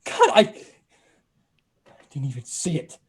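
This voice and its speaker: A man acting a weary, shaken apocalypse survivor with terse, halting delivery in a comic book table read over a video call. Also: fairly high pitch, wildly swooping pitch, husky, full character voice. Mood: alarmed.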